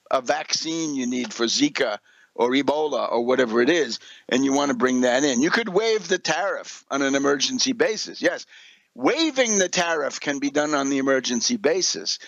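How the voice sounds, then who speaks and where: A man's voice: on the high side, creaky, a bit bright, dry recording, rough recording. A man giving an opinionated, off-the-cuff political monologue as a radio commentator, emphatic and sardonic, lecturing with rhetorical asides.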